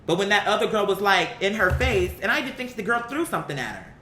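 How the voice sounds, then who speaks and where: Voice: expressive, fast talker, sharp tone, faint music behind. An online commentary host giving a blunt, opinionated, casual rant to viewers, swearing freely and repeating words for emphasis. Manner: blunt, profane, emphatic repetition. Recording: roomy echo, faint rumbling noise.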